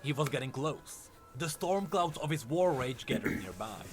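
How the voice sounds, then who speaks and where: Dramatic male storyteller with lively, swinging pitch. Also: moderate pace, faint music behind. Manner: solemn, theatrical storytelling. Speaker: lore narrator. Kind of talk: dramatic scripted story narration.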